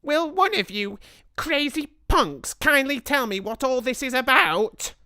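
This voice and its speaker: A woman's voice: high-pitched, sing-song, takes it slowly, sharp tone, clean tone, no echo, studio quality, pitched above the performer's own voice, exaggerated voice. A woman voicing a greedy, rude young boy with a whiny, demanding tone in a storybook reading. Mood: bratty demanding.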